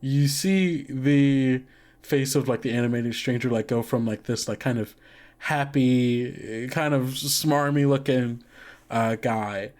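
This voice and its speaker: A man's voice: medium pitch, a little mellow. A man narrating as game master, hesitant and filling gaps with "uh" and "like". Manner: halting, lots of fillers like "uh" and "like".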